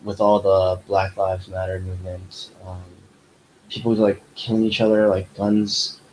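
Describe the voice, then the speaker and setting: Animated young man with low voice. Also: unhurried, a little hiss or hum, rough recording. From United States. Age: young adult. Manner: animated, rambling, many fillers. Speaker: podcast host. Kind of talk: casual chat.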